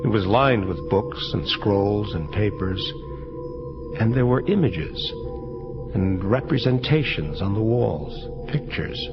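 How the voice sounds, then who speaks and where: A man's voice: on the low side, animated, takes it slowly, highs cut off, over music. An actor playing a world-weary male angel detective who narrates his case in hardboiled audio drama style. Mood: reflective.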